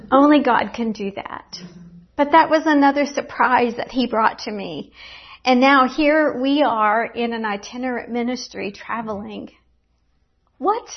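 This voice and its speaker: Woman, middle-aged, slightly high voice, earnest testimony, restarts often, dull lo-fi sound. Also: breathy edge. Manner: earnest, reflective storytelling with many restarts. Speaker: women's Bible teacher and speaker. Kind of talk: personal faith testimony. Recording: dull lo-fi recording.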